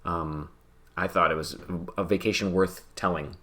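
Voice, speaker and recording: A man's voice: sits low, fairly even intonation, normal speed, a bit bright. A man hosting a podcast, chatting casually while playing a game, rambling and self-deprecating and laughing often. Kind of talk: casual chat while gaming.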